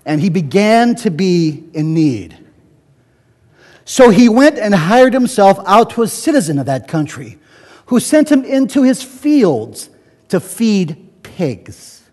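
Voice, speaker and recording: A man giving a dramatic, deliberate scripture reading with theatrical pauses in a church. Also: high-pitched, sing-song, darkish tone. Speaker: scripture reader. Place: church, live service. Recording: echoey church hall, long reverb.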